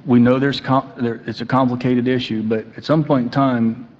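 Firm mayor chairing from the dais. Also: male voice, medium pitch, steady tone. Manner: firm, exasperated, halting. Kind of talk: chairing a council meeting. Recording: dull meeting audio with long hall reverb.

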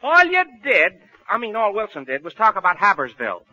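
An actor playing a slangy, wisecracking small-time crook and loyal sidekick in 1940s radio comedy style. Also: male voice, sits high, wildly swooping pitch, a little fast, sharp tone, full character voice. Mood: wry. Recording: muffled vintage 1940s radio recording.

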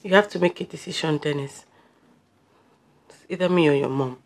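An actress playing a determined young girlfriend in a screen drama, pleading her case emphatically and haltingly when upset. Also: low-pitched, slight background noise. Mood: exasperated. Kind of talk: naturalistic screen drama dialogue.

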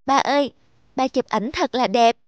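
Woman voicing a high-pitched, earnest young daughter. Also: normal speed, dry recording, natural voice. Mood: eager. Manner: pleading, emotional. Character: earnest, tender-hearted daughter longing for her lost father. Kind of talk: cartoon drama voice acting.

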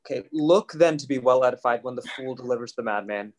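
Jester for a Shakespeare comedy, played by a man: cheeky, quick-witted, middle-register voice. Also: mid-range voice, a little mellow, natural voice. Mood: playful. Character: impudent witty fool in a Shakespeare comedy. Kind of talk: live table read of Shakespearean verse drama. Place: home kitchen, video call.

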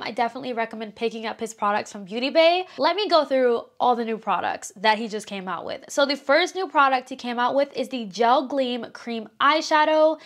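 A woman's voice: a little high, a bit bright. A woman in her twenties reviewing new makeup releases to camera in a casual, enthusiastic way, her voice slightly strained from screaming, recorded in a small home studio. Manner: upbeat, enthusiastic, chatty. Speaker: beauty vlogger.

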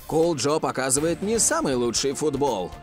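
A man voicing an expressive, opinionated football commentator in an animated cartoon, with emphatic delivery. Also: a little high, normal speed, soft background music. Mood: critical.

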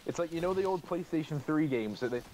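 A man's voice: fairly high pitch, animated, fast, darkish tone, poor audio. A man chatting casually over voice chat during an online game, rambling and swearing, often repeating himself. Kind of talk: casual voice chat while gaming.

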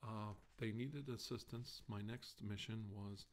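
A man in his forties doing a soft ASMR role-play of a formal deposition, speaking haltingly and formally, with hesitant pauses. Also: on the low side. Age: 40s. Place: small indoor room, seated at a desk.

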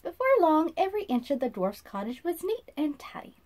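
A woman in her thirties reading a children's storybook aloud in an expressive, sing-song manner, as if to young listeners. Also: high-pitched, brisk. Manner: sing-song, animated, asks listeners questions. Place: small indoor room.

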